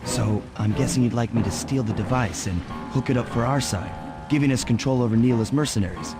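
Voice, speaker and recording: A man's voice: medium pitch, fairly quick, lightly acted. Man as a suave, wry cartoon master thief. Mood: wry, breezy. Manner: breezy, dry-witted. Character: suave, wry, laid-back young male master thief. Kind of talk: cartoon video game voice acting. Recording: music behind the voice.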